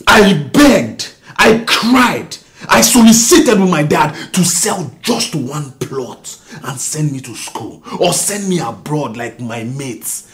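A man plays a hard, resentful husband with a Nigerian accent, bitter toward the family that raised him. His pitch is lively and moves a lot. He mutters low, then breaks into loud, shouted rants. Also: measured pace, hardly any pauses, natural voice. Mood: angry. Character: bitter self-made man, harsh to his mother. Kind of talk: melodrama acting.

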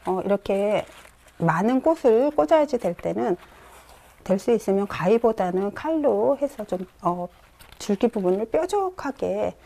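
A middle-aged woman giving a calm flower arranging lesson in a studio, pausing often as she works. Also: medium pitch, creaky. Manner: calm instructive, many "eo" pauses while working. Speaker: floral design instructor. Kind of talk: step-by-step demonstration lesson. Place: bright indoor studio.

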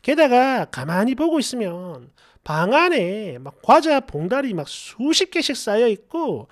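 A man's voice: very high voice, sing-song, a little slow, no echo, clean studio sound. A middle-aged man hosting a story channel, reading a first-person story aloud in a colloquial, exasperated, dramatized way with asides.

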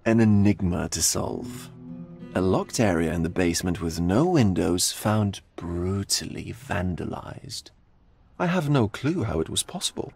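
A theatrical male art gallery owner from a video game, sly and pleasure-seeking. His slightly husky voice swoops widely as he draws out his musings. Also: sits low, big pitch swings, a little mellow, soft background music, exaggerated voice. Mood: intrigued, grave. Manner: theatrical, drawn-out, philosophizing delivery. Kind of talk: video game cutscene acting.

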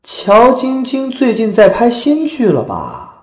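A woman's voice: fairly high pitch, huge pitch swings, slow, echoey room, exaggerated voice. An actress playing a knowing female entertainment gossip follower in an audio drama, musing speculatively.

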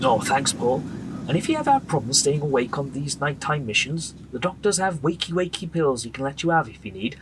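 A man's voice: mid-range voice, big pitch swings, brisk, lightly acted, low-quality recording. A man playing a genial, commanding British RAF bomber pilot in a radio drama, with jovial clipped military banter. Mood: chatty. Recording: loud aircraft engine drone behind. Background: aircraft engine noise.

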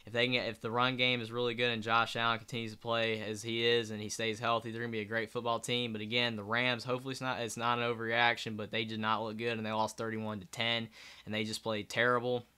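A young man talks sports into his webcam from a small bedroom. His voice is bright and crisp, and his speech is rambling and earnest, full of restarts. He sounds tired from the late hour. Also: medium pitch, flat delivery, fast. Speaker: sports channel host. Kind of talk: casual solo sports commentary. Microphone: webcam at desk.

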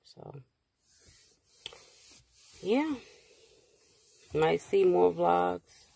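Woman, 30s, casual vlog chat, parked car, phone at arm's length, gravelly, slow. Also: on the low side. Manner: rambling and relaxed, with many fillers. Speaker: vlogger. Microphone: phone held at arm's length.

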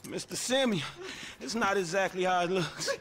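A man's voice: sits high, moderate pace, fairly bright tone. An actor playing a streetwise young American repo man, slangy and casual, in a video game cutscene. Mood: sheepish. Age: young adult. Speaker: game character. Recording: game music behind dialogue.

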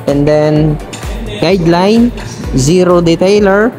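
A man who trains barbers, narrating his vlog in a relaxed way with many fillers. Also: high-pitched, slow pace, loud music behind the voice.

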